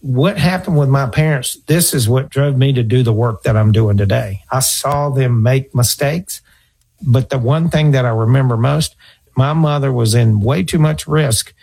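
A middle-aged man with a gravelly, husky voice speaks as a financial advisor giving advice. He talks earnestly, tells stories, and circles back to repeat his points. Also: mid-range voice, normal speed, a little mellow. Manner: earnest, storytelling, repeats himself. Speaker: financial advisor radio host. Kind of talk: conversational advice talk.

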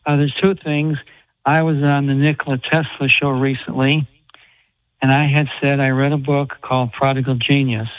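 Male caller, enthusiastic, hesitant, mid pitch, thin phone sound. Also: flat delivery, normal speed, no echo. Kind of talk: call-in radio talk. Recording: tinny phone line.

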